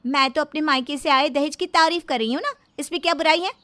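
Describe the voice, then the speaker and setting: A woman acts out a quarrelsome young daughter-in-law from a domestic storybook tale. She is arrogant and boastful, with a high voice and a taunting, haughty delivery. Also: quick pace, pushed and bright, a little hiss or hum. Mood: defiant.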